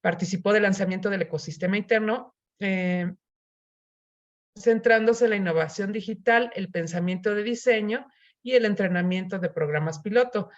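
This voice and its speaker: A woman introduces a speaker on a video call, her pitch sitting in a common middle range. She reads a prepared bio and then speaks more casually. The call audio sounds somewhat dull. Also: normal speed. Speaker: moderator. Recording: muffled online call audio.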